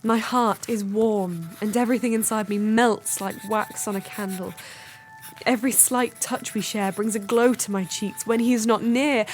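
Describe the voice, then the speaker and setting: An actress playing a romantic young upper-class English Victorian lady, narrating her diary in a measured, wistful way. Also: medium pitch, a little hiss or hum, natural voice. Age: young adult. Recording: music under the narration.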